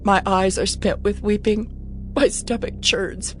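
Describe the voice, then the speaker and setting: A woman's voice: mid-range voice, fairly even intonation, measured pace, a little hiss or hum, lightly acted. A woman performing a dramatized scripture lament, anguished and pleading. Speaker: narrator of a lament.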